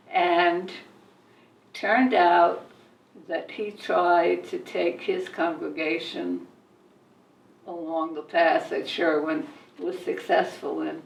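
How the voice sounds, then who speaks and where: An older woman reminisces about her own life as an interviewee, reflective and often halting. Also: on the low side, creaky. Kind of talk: reminiscing, personal storytelling. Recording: echoey room, distant mic.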